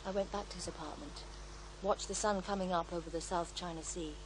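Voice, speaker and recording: An actress playing a serene, devout faith healer in a radio drama, reflective and gently assured as she tells her story. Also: on the low side, fairly even intonation, brisk, background noise, lightly acted. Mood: wistful. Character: serene devout female faith healer, former child therapist, wistful. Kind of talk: naturalistic radio drama acting.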